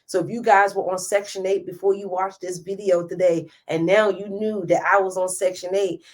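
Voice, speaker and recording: A woman's voice: medium pitch, steady tone, normal speed. A woman in her thirties hosting a live stream, giving a passionate motivational talk straight to her viewers. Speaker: host.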